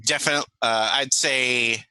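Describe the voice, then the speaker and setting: A man's voice: mid-range voice, steady tone, sharp tone, smooth, no echo. A man acting as game master, improvising narration of a tabletop game and often restarting his phrases. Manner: halting, repeats and restarts phrases.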